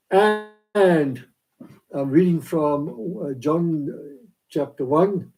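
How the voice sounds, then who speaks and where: A South African man, Afrikaans his first language, giving a rambling, halting devotional talk into a laptop camera in his small home office. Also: on the high side, dark tone, low-quality recording. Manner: rambling, halting with many fillers.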